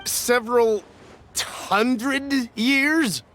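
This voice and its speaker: A man's voice: extremely high pitch, drawn-out words, fairly bright tone, slight background noise, exaggerated voice. A man voicing an anxious, cautious merchant in a video game, fretful, flustered and deferential. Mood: flustered, pleading.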